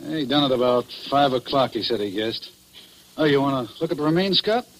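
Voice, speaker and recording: An actor playing a defensive, folksy night jailer who talks in slang, in old radio drama style. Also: male voice, mid-range voice, animated, fairly quick, lightly acted. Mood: casual, defensive. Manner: slangy, uneducated grammar. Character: casual, defensive, folksy male jail night officer. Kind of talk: 1940s radio drama acting. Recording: noisy old radio broadcast recording.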